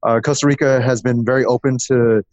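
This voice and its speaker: A man's voice: mid-range voice, steady tone, fairly quick, darkish tone, dry recording, highs cut off. A man speaking as a podcast guest in a casual interview, explaining things in a rambling way with lists. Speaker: podcast guest, growth marketer.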